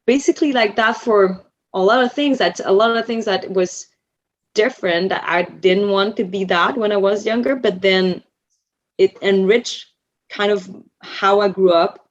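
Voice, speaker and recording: A woman whose first language is Vietnamese, a podcast guest talking casually and reflectively, often pausing and restarting as she searches for words. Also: mid-range voice. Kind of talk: casual conversation. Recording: slightly dull lo-fi sound.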